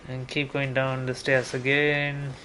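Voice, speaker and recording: A man's voice: mid-range voice, completely flat delivery, a little slow, background noise. A man giving casual gameplay commentary, thinking aloud as he mutters through each step to himself. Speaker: video game streamer. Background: game music.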